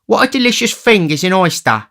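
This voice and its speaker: Tipsy young man, weak and whining, voice lifted high, classic audiobook character. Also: very animated, a little fast, gravelly, a bit bright, full character voice. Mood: tipsy, self-satisfied. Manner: whining, blubbering when cornered.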